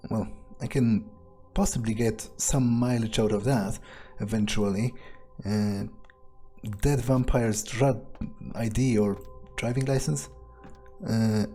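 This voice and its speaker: An unhurried, mellow voice muses in character as a cynical, scheming vampire during a game playthrough. The delivery is unscripted and halting, with casual profanity, over faint music. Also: medium pitch. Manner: hesitant, musing, casually profane. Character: scheming, amoral vampire musing on own plans. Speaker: player narrating a roleplay game playthrough in character. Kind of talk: unscripted in-character monologue, thinking aloud.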